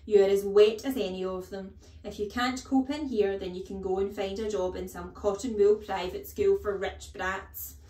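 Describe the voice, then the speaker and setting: A woman voicing a bullying, booming headmistress who barks her words slowly and with contempt, for an audiobook. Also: steady tone, reverberant, lightly acted. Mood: barking, scornful. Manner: loud, barking, slow and contemptuous. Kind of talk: audiobook character voice.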